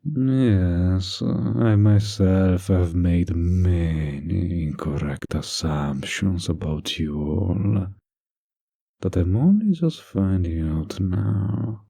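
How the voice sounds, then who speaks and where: A man acts out a silky villain in a flirtatious audio roleplay. His voice is deep and dark with a gravelly creak, recorded dry and close. He draws out his words with teasing, manipulative politeness. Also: lively intonation, drawn-out words, no echo, full character voice. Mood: sly, musing. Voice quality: low, breathy, gravelly.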